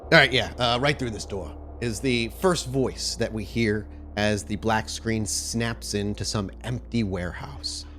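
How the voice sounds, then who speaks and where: A man's voice: on the low side, measured pace, noisy background. A man hosting a tabletop role-playing podcast as storyteller, speaking in an informal, chatty way. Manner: informal, chatty, swears casually. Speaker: podcast host and game storyteller. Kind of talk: casual podcast intro, then improvised tabletop game narration.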